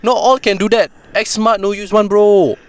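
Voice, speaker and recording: A man's voice: high-pitched, steady tone, normal speed, pitched above the performer's own voice, lightly acted. A man putting on a brash Singaporean ranting coach. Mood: mocking rant. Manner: scolding, exaggerated, sing-song.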